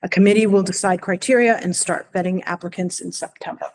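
A woman speaking as school superintendent, giving an informal, somewhat halting report at a board meeting in a library room. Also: on the low side, fairly even intonation, darkish tone, a little hiss or hum.

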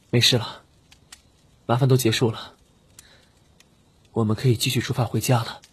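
A man's voice: mid-range voice, level tone, takes it slowly, long pauses, breathy edge, voice pushed up. A man voicing a weary, frank young wandering cultivator who speaks firmly and plainly in an audiobook. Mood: reassuring. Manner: plain-spoken, firm.

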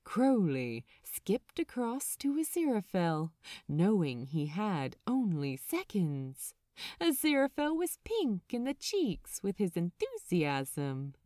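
A woman reading a story as an audiobook narrator, expressive, dramatic and measured. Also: mid-range voice, no room sound, clean studio sound.

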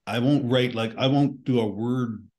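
A man's voice: mid-range voice, a little slow, darkish tone. An older man with a Canadian accent, hosting an interview podcast over a video call in an easygoing way. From eastern Canada. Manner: easygoing, laughs readily. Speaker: podcast host. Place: home room with bookshelves. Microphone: headset, video call.

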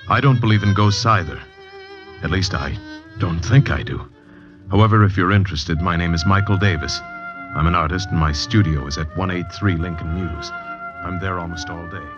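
Deep-voiced troubled artist, played by a man, old radio. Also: moderate pace, over music, lightly acted. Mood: earnest, intrigued. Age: 30s. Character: troubled artist in his thirties, earnest, haunted. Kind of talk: 1940s radio drama acting. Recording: dull vintage radio recording with noise.

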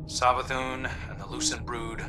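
A man acts out an earnest game scout delivering a sober report. His mid-pitched voice is measured and serious. It sits a little away from the mic, with clear music behind it. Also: completely flat delivery, far from the mic, close to an everyday voice. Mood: grave, measured. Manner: measured, grave, deliberate reporting. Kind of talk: scripted video game dialogue.

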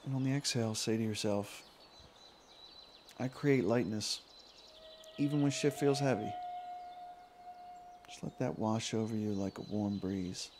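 A man leading a guided meditation in an informal, soothing way, with unhurried phrases and long pauses. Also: fairly low pitch, darkish tone, a little hiss or hum. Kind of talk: guided meditation, yoga nidra body scan.

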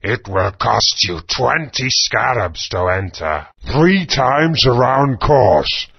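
Gravelly dino thug, broken grammar, slow drawl, dull lo-fi sound. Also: male voice, medium pitch, expressive, exaggerated voice. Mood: gruff greedy. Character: greedy male SharpClaw dino guard, broken speech. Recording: dull lo-fi game audio.

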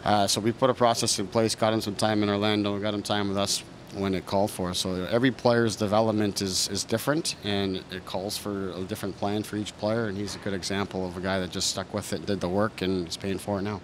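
Head coach, thirties, male; low, monotone delivery, measured and restarting; close press mics, noisy room. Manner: measured, thoughtful, frequent fillers and restarts. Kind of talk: post-game press conference answers. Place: press room in front of a sponsor backdrop. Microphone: several reporters' handheld mics close in front.

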